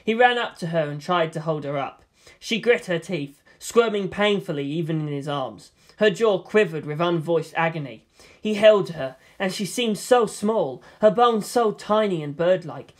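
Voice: mid-range voice, lively intonation, moderate pace. A narrator reading a story aloud in a dramatic, scripted style. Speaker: audiobook narrator.

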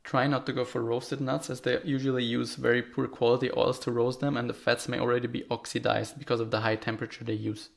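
A young man giving calm, matter-of-fact advice as a vlogger. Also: mid-range voice, quick pace, creaky, hardly any pauses. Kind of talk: scripted voice-over tips. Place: outdoors by apartment blocks in winter.

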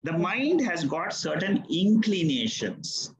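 A man's voice: sits high, very animated, takes it slowly, reverberant, low-quality recording. An older man, a Vedanta teacher, patiently explaining answers to a student in an online class. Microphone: video call.

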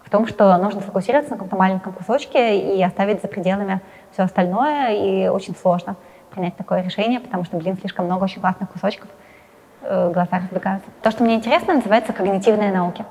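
A young adult woman answering interview questions while seated in a studio, talking quickly with frequent hesitant fillers. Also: mid-range voice, mellow, in a large hall. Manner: hesitant fillers, fast and talkative. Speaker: interviewee, science journalist. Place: indoor studio with plain wall, seated in armchair.

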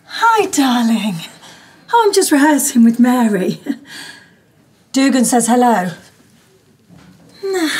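Composed, coaxing woman, middle-aged, comedy soap: articulate, slow, with expressive lift. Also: on the high side, full character voice. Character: composed, coaxing older radio soap actress, secret lover. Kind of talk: naturalistic comedy soap acting. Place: radio studio corridor indoors, film camera.

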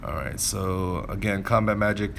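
A man with a fairly low voice talks through gameplay casually. He thinks aloud and explains things as he goes, over clearly audible background noise. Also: normal speed. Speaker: gameplay commentator. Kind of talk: casual game commentary.

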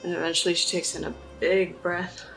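A woman's voice: fairly low pitch, level tone, fairly quick, vocal fry, fairly bright tone, faint music behind, rough recording. A woman player narrating her character's actions in a tabletop roleplay game.

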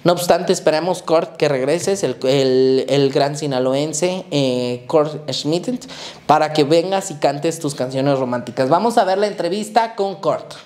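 A young man hosts from a small indoor studio set, seated and talking straight to camera. He is chatty and thanks his guests at length, in a casual run-on way. The lapel mic gives a close, even sound. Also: fairly high pitch, a little slow. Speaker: host.

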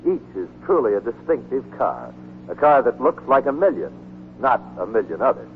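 A man's voice: medium pitch, big pitch swings, moderate pace. A man reading a 1940s radio advertisement as announcer, polished, emphatic and grandiose. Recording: tinny, noisy 1940s radio broadcast.

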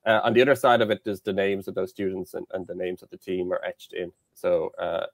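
Young Irish man, low voice, dry headset sound, halting guest on a call. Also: level tone, gravelly, dry recording. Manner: hesitant, lots of fillers and restarts. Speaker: guest, satellite engineer. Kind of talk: casual interview chat. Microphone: headset on video call.

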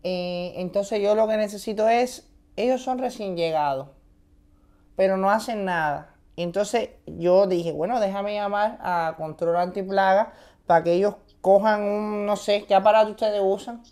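A man's voice: high-pitched, steady tone, moderate pace, a little mellow, clean tone. Man putting on a brazen, drawling mother. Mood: rambling, deadpan. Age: young adult.

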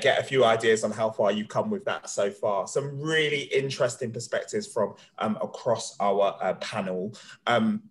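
A man moderating a panel over a video call, handing over to the next speaker. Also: on the low side.

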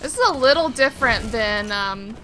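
A woman's voice: on the high side, lively intonation, moderate pace, a bit bright, faint music behind. A woman giving casual, reactive commentary on a video game, slangy and often puzzled. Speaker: gamer doing a blind let's play.

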